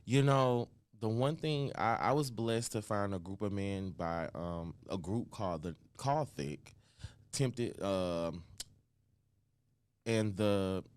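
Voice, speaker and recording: A man's voice: on the low side, slow, clear, resonant tone, no room sound. A man, a rapper, being interviewed in a radio studio, talking emphatically and confidently. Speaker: rapper and guest. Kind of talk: casual interview.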